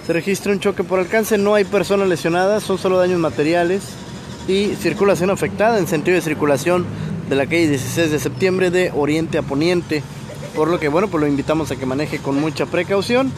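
A man's voice: sits high, fairly quick. A man gives a live, filler-heavy street report on a crash, filmed on a phone outdoors. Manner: informal reporting with many fillers. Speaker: local news reporter. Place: busy city street outdoors. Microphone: phone camera, reporter off screen. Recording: outdoor street with traffic noise.

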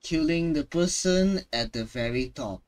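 A man's voice: fairly high pitch, expressive, unhurried, darkish tone. A man streaming a video game, reacting casually and reading dialogue aloud.

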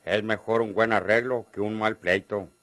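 An actor playing an insistent, wheedling rustic schemer in a Mexican radio drama, with a northern Mexican accent and a folksy, coaxing manner. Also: male voice, on the low side, fairly even intonation, normal speed, fairly bright tone, no echo, natural voice.

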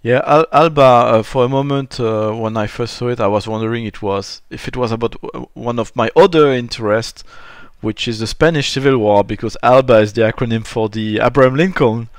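A man's voice: mid-range voice, fairly quick, hardly any pauses, smooth, no echo, studio quality. A man hosting a podcast, asking questions in a casual, hesitant way, restarting and searching for words. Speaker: podcast host.

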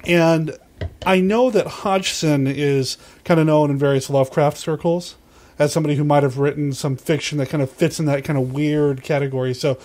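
A man's voice: fairly high pitch, fairly even intonation, normal speed, a little mellow. A man hosting a podcast, chatting casually and conversationally with his guest. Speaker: podcast host.

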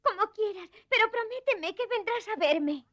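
An actress playing a gentle, polite and frail young girl in a dubbed children's cartoon, sweet and earnest. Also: extremely high pitch, expressive, moderate pace.

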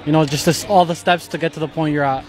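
A man's voice: fairly high pitch, steady tone, fast. A young man, asking short casual questions as a street interviewer outdoors. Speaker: interviewer, host. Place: outdoor patio at an event. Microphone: handheld interview mic.

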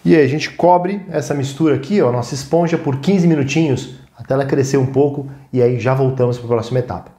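Brazilian guy hosting a cooking vid, mid-pitched voice, chatty step by step, keeps checking in with viewers. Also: a little fast, few pauses, warm tone. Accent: Brazilian Portuguese. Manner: informal, instructive, frequent check-in tags. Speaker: cooking show host. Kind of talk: casual step-by-step cooking demonstration.

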